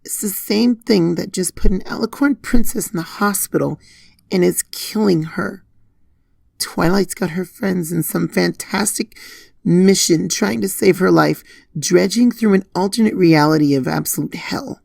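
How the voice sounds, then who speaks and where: Lady acting as a worried pony mare in a horror story, really low voice, crackly. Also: measured pace, creaky, darkish tone, no echo, full character voice. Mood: grave, scolding. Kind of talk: dramatic fan fiction reading.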